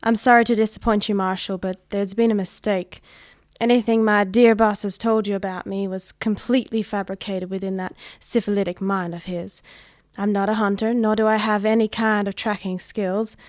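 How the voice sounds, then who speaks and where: A woman's voice: mid-range voice, little pitch movement, moderate pace, a little mellow, no room sound, clean studio sound, lightly acted. A woman playing a sardonic young assistant in a western radio serial, speaking frankly and formally. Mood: frank, pleading. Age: young adult.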